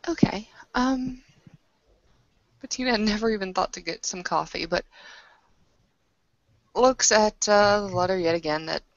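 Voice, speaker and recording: A woman's voice: mid-range voice, measured pace, pauses often, no echo. A woman taking part in a tabletop roleplaying game, casually narrating her character in hesitant, piecemeal phrases. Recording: dry, slightly muffled voice-chat audio.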